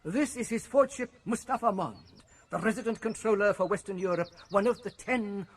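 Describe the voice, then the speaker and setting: A man's voice: high-pitched, moderate pace, slight background noise. An actor playing a pompous male director in a radio drama, lecturing a group of students. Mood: fawning. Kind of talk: BBC radio drama acting.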